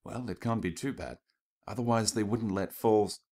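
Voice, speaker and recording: Man as a polite, low-voiced gentleman unicorn. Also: moderate pace, natural voice. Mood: reassuring. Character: suave, courteous young male unicorn dinner date. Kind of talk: storybook character voice in fan fiction reading.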